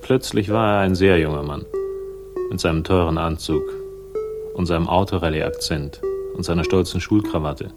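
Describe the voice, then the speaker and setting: An actor playing a world-weary, sardonic investigator in a 1960s German radio crime drama, speaking in a dry, laconic, cynical way. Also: male voice, deep voice, normal speed, music in the background, natural voice. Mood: wry, disdainful. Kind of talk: 1960s radio noir narration and dialogue.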